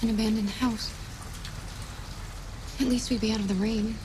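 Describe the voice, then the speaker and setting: An actress playing a gentle, protective female android in a video game, speaking softly and earnestly with a reassuring manner. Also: fairly high pitch, fairly even intonation, moderate pace, background noise, natural voice. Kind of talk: naturalistic video game acting. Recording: music and rain behind.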